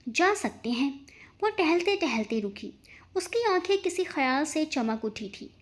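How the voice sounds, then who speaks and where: A woman reading a scripted story as its narrator, with expressive delivery. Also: high voice, a little slow.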